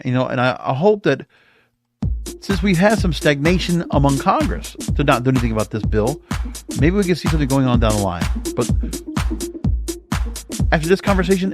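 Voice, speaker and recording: A man with an American accent delivering opinionated podcast commentary as host, emphatic and stretching words for stress. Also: fairly high pitch. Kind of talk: opinionated solo commentary on the music industry.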